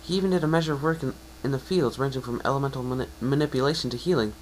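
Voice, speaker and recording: A man's voice: medium pitch, rapid-fire, slight background noise, pitched above the performer's own voice, lightly acted, low-quality recording. A man voicing an earnest, bookish young female unicorn, polite and easily flustered, in a fan fiction reading. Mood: eager, bookish. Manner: eager, polite, explanatory.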